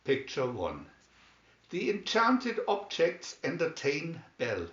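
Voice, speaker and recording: A man narrates a storybook in a deliberate, announcing way. His fairly high voice moves up and down in a lively manner, and the recording is slightly dull and roomy. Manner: deliberate, announcing each caption. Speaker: narrator reading a picture-reel booklet.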